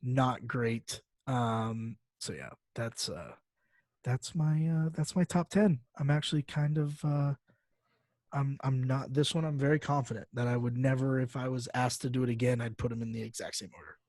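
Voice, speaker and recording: A man's voice: medium pitch, moderate pace, darkish tone, dry recording. A man co-hosting a podcast in casual, slangy chat, with frequent fillers as he talks through lists and figures. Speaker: podcast co-host.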